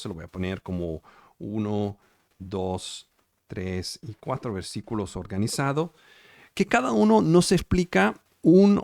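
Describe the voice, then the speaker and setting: A man's voice: medium pitch, warm tone, no room sound, studio quality. An Italian-born man preaching in Spanish with an Italian accent, emphatic and repetitive, checking whether his listeners follow. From Italy. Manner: emphatic, repetitive, asks the audience if they follow. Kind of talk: sermon over video call.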